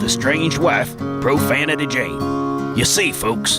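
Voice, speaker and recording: An actor playing a folksy, dramatic Western storyteller with drawling cowboy talk in a comic audio drama. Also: male voice, medium pitch, close to an everyday voice. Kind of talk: scripted comedic Western narration. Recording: loud guitar music behind.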